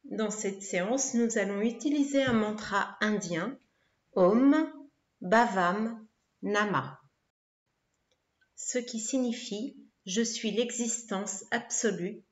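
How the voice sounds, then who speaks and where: A woman guiding a yoga nidra relaxation in a soothing, measured way, with pauses between short phrases. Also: mid-range voice, highs cut off. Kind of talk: guided relaxation meditation, instructing listeners. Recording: roomy, reverberant sound.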